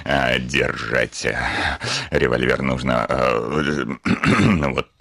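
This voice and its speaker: Deep, volatile, blustering landowner. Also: male voice, fairly even intonation, drawn-out words, creaky, sharp tone, full character voice. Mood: flustered, instructing. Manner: bombastic, volatile, exclamatory, sputtering. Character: blustering, hot-tempered male landowner, smitten despite himself. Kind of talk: classic stage comedy radio play acting.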